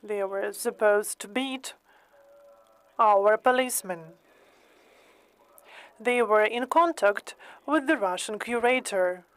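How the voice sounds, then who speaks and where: A woman hosting and interpreting into English, speaking carefully with many pauses and fillers. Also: medium pitch. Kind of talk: slow consecutive interpreting in English.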